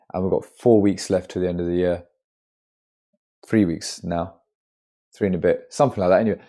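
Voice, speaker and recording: A man's voice: low voice, dark tone. A gas engineer with a stuffy nose from a cold, chatting to his phone as he explains his jobs step by step. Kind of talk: casual work vlog to camera. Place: customer's house. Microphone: handheld phone.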